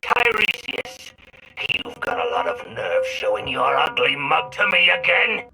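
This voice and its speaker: An actor playing an embittered, indignant older forger with a grudge, menacing and boastful, in a sci-fi video game. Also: male voice, mid-range voice, huge pitch swings, a little slow, vocal fry, exaggerated voice, rough recording. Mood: bitter, menacing. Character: embittered, grudge-bearing retired male forger in hiding. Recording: very loud music behind.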